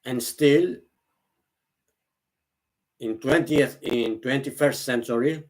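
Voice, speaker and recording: A man whose first language is Greek, speaking English slowly and earnestly to the camera. He often halts, repeats himself and restarts his phrases. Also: mid-range voice. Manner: halting, repeats and restarts phrases, non-native grammar. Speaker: vlogger. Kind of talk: slow, earnest monologue to camera.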